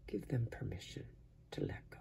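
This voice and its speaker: Hushed, dark-voiced man guiding sleep. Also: on the low side, huge pitch swings. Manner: hushed and breathy, often trailing into whisper; slow, soothing delivery with long pauses. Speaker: meditation guide. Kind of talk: guided sleep meditation, soft-spoken.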